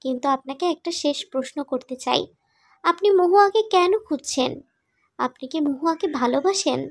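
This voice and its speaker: A woman playing a concerned, questioning young friend in an animated romantic drama. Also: high voice, a little fast, no room sound, clean studio sound. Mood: curious, concerned.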